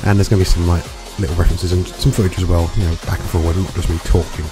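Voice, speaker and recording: A hungover man with a gravelly voice and dry throat, rambling through casual, sweary commentary as a gaming reviewer. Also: low-pitched, little pitch movement, racing. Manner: rambling, chatty, swears freely. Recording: music playing underneath.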